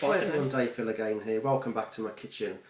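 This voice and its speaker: A man's voice: on the low side, very fast. A British man giving a casual, unscripted home cooking demonstration, rambling along with offhand, self-deprecating asides. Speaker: home cook presenting a recipe video. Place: home kitchen. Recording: echoey kitchen with frying, clattering noise.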